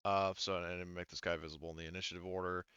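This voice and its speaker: A man narrating a casual tabletop roleplaying game as game master, halting and thinking aloud as he works through rules. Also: low voice, flat delivery, sharp tone, clear, resonant tone, dry recording, muffled recording.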